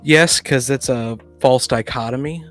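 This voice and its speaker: A man's voice: medium pitch, unhurried, fairly bright tone, faint music behind. A man speaking as a podcast guest in a casual panel discussion, often thinking aloud.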